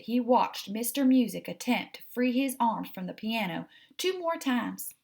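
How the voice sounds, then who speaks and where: A woman hosting a read-aloud, narrating a children's storybook expressively and addressing young listeners directly. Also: mid-range voice.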